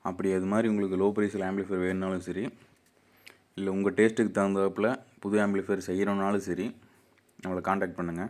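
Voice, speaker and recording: Low, monotone Tamil Nadu man. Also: fast. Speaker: amplifier builder and repairer presenting his video channel. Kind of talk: informal product walkthrough for viewers.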